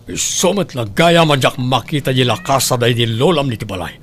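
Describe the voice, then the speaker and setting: A man's voice: medium pitch, lively intonation, moderate pace, a bit bright, slight background noise, natural voice. A man playing a stern, aggrieved middle-aged father in an Ilocano audio drama. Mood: angry, aggrieved.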